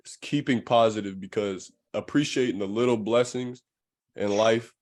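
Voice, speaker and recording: A man's voice: lowish voice, moderate pace, a little mellow. A man hosting a podcast in casual conversation, with laid-back, slangy phrasing and an affirming way of talking. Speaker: podcast host.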